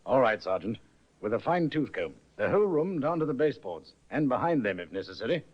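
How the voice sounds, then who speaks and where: An actor playing a skeptical, probing police inspector who speaks in clipped questions, in an old radio drama. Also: male voice, mid-range voice, very animated, brisk. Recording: muffled old radio broadcast.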